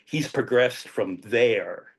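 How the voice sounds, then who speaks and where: A man's voice: medium pitch, slow pace, slightly rough. A man hosting a podcast, talking in a laid-back, reminiscing way. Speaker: podcast host. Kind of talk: casual podcast chat.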